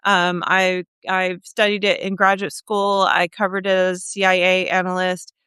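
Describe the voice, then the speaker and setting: A woman's voice: on the low side, level tone, moderate pace, bright, forceful tone, smooth, no room sound. A woman hosting a live video, talking casually with many fillers and restarts. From Kenya. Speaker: host of a live video, trip leader. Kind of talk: casual live-stream talk.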